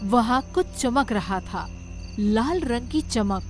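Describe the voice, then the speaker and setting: A woman giving scripted, dramatic narration of an animated story. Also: fairly high pitch, slow pace, faint music behind.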